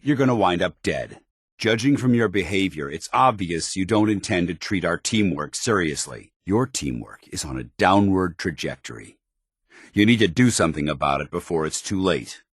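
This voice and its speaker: Very deep-voiced, stern military paladin. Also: male voice, slightly rough, dry recording, studio quality, exaggerated voice. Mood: stern, disapproving. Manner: formal, clipped, commanding, earnest. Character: stern, proud, earnest male military paladin soldier companion. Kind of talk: scripted video game companion dialogue.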